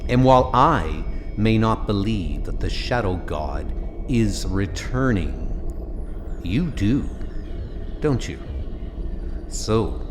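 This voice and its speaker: A man playing a smug, cold-blooded billionaire villain in a comic book audio drama, deliberate, sardonic and menacing. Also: on the low side, noisy background, full character voice. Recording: music under the voice. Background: music.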